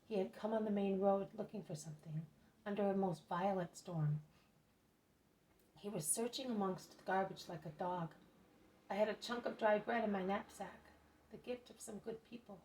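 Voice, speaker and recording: A woman's voice: mid-range voice, warm tone, natural voice, poor audio. A woman reading aloud as a grateful male beggar who lives on charity, speaking earnestly.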